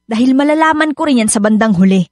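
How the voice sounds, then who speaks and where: A woman's voice: on the high side, animated, quick pace, a little mellow, dry recording, clean studio sound, natural voice. A Filipino-accented woman acting in a radio drama as a hurt, suspicious young wife and mother who keeps insisting. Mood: confrontational, hurt.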